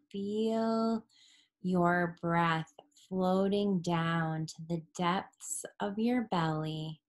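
A woman leading a guided relaxation as a yoga instructor, soothing and unhurried, with long pauses and breathy phrase endings. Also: lowish voice, a little mellow.

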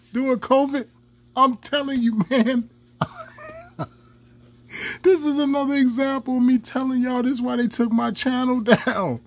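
A man, ranting angrily in a profane commentary. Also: very high voice. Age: 40s. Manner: profane, heated, laughs mid-rant.

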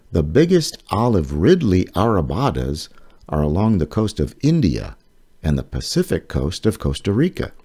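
A man with a husky voice reading a children's nonfiction book aloud in a measured, explanatory way. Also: sits low, warm tone.

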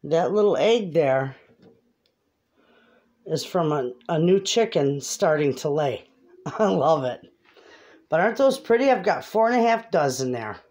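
A middle-aged woman chatting casually to camera in her home kitchen as she cooks, homey and quick to laugh. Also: low voice, animated, a little mellow. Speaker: homestead cooking vlogger. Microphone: camera across the kitchen. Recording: noisy home kitchen audio.

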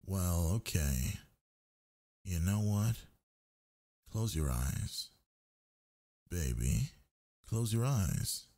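A man playing a sleepy, needy gamer boyfriend in an intimate audio roleplay, coaxing in soft, breathy murmurs. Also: deep voice, very animated, full character voice. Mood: sulky, needy, then teasing, tender. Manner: coaxing, murmuring, drawn-out words.

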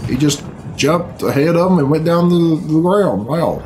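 A man's voice: fairly high pitch, animated, normal speed, noisy background. A middle-aged man giving casual, profane reaction commentary in a sarcastic, bemused grumble. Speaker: reaction video host. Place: small bedroom, indoors. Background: video game cutscene music.

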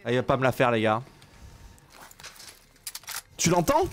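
A young French man with a French accent, chatting excitedly while streaming a game, mixing French and broken English. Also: medium pitch, normal speed. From France. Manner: animated, shouty, mixes French and broken English. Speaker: gaming streamer. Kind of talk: excited gameplay chat with a stranger. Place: home gaming room. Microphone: headset mic.

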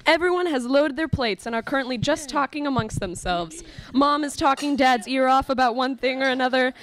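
A woman's voice: high-pitched, fairly quick, slight background noise, rough recording. A young adult woman giving scripted narration for a live radio play. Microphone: stand microphone.

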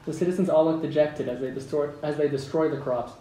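A man's voice: fairly high pitch, steady tone, fast, a crowd in the background. A man reading out stage directions as narrator at a script table read. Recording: echoey room, distant mic, background noise.

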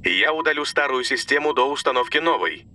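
A man's voice: mid-range voice, animated, a little fast, pushed and bright, a little hiss or hum. A man voicing a loyal, logical cartoon robot car that speaks in clipped, formal, procedural announcements. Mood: calm, dutiful. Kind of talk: dubbed cartoon voice acting. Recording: music behind the voice.

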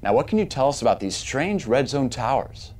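An actor playing a serious, polished TV news anchor, formal and measured, in a scripted game interview. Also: male voice, mid-range voice, sing-song, a little hiss or hum, lightly acted. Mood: serious, probing.

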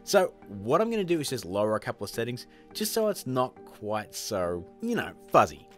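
A man's voice: medium pitch, very animated, moderate pace, faint music behind. A man in his thirties presenting a tutorial in a chummy, informal, upbeat way, talking to viewers as guys. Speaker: tutorial host.

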